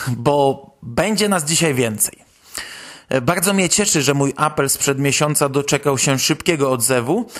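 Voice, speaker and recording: A Polish man hosting a podcast, commenting on news in a conversational, wry way. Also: on the high side. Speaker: podcast host.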